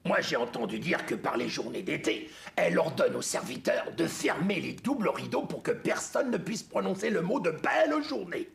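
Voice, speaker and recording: A woman voicing a kindly, blunt little forest creature in a French cartoon dub, with a gravelly voice and familiar, reassuring manner. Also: lowish voice, sing-song, moderate pace, faint music behind. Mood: indignant, protective. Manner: familiar, reassuring, matter-of-fact. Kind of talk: French-dubbed cartoon acting.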